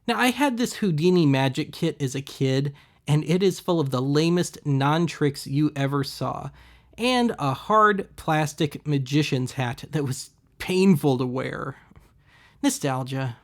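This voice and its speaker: A man hosting a web series, giving casual, deadpan, wry voice-over commentary on thrift finds. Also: on the high side, measured pace. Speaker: host of a web video series.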